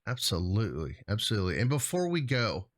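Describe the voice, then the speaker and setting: A man's voice: lowish voice, huge pitch swings, fast talker. A middle-aged man hosting a show in casual chat, rambling a little with a jokey, hesitant delivery. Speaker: show host.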